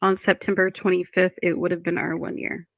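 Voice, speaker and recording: A woman on a live stream talking wearily and flatly, answering questions from her chat. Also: fairly low pitch, a little fast, vocal fry, no echo, muffled recording.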